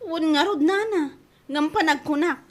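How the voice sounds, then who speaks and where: A woman's voice: sits high, a little slow. A woman with an Ilocano accent acting as a gossipy, exasperated complainer in a radio comedy drama, incredulous and scornful.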